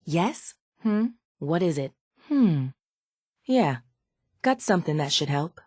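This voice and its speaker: Game role: sardonic, calculating female raider scientist, slightly low register, cool measured delivery, very dry studio sound. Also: very animated, a little mellow, dry recording, full character voice. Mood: brisk, dismissive. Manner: dry, measured, faintly condescending. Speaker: video game character voice actor. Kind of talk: scripted video game dialogue.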